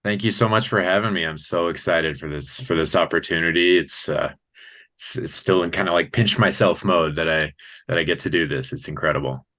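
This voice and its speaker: An American man with a low, forceful voice answers interview questions. He speaks conversationally, with earnest enthusiasm and the bright edge of a sports announcer. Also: brisk. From Colorado, United States. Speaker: sports public-address announcer, interview guest.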